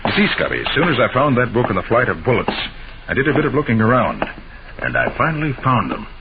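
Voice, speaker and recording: An actor playing a shrewd, confident master detective with an assured, emphatic delivery in an old-time radio drama. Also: male voice, medium pitch, sing-song, quick pace. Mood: confident, explaining. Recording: noisy, muffled old radio broadcast.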